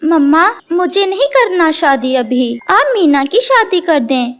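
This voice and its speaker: A woman's voice: extremely high pitch, big pitch swings, measured pace, pitched above the performer's own voice, heavily acted. A woman voicing a stubborn, fussy young female bird in a children's cartoon, speaking in a petulant, insistent way. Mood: defiant.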